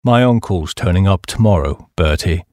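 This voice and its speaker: A man voicing a hapless, dim young upper-class English gentleman who blusters and rambles in slang. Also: low-pitched, lively intonation, normal speed, no echo, clean studio sound, pitched below the performer's own voice. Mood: worried.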